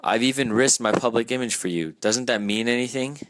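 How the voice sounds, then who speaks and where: A young man voicing a lovesick rich young man who reads his own journal aloud in a hushed, brooding monologue. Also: medium pitch, brisk, a little hiss or hum, lightly acted. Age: young adult.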